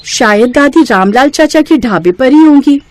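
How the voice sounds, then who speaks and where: A woman's voice: high-pitched, animated, moderate pace, darkish tone. A woman voicing a caring, polite young girl in an earnest, reassuring storybook manner. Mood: concerned. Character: young twin granddaughters, eager, caring, polite little girls. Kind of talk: storybook character acting.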